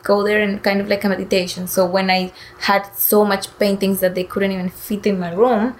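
A woman's voice: mid-range voice, little pitch movement, normal speed. A woman artist answering interview questions in an earnest, reflective, conversational way. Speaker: interview guest, painter.

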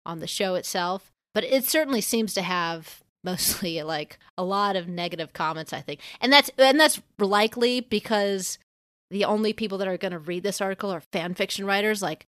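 A woman's voice: mid-range voice, normal speed, fairly bright tone, no echo. Casual woman co-host, dry close sound. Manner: rambling, thinking aloud, hedged. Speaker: podcast co-host. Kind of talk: casual discussion.

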